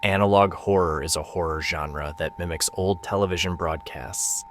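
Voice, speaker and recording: A man narrating a documentary from a script, with a measured, ominous delivery. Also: deep voice, steady tone, slight background noise.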